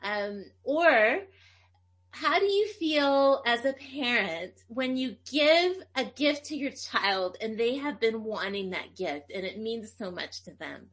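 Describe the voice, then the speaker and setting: A woman from Tennessee, who grew up speaking Greek, giving a slow, emphatic lecture on public speaking. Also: a little high, animated, fairly bright tone. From Tennessee, USA. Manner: slow, deliberate, emphatic phrasing.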